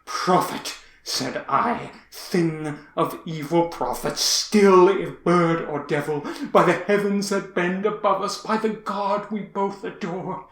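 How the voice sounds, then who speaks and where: Guy playing a tormented grieving poet, slow dramatic recital w long pauses, pretty echoey room. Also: high-pitched, a bit bright, heavily acted. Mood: desperate, pleading. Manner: theatrical, declamatory, with long pauses. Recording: roomy, echoing space.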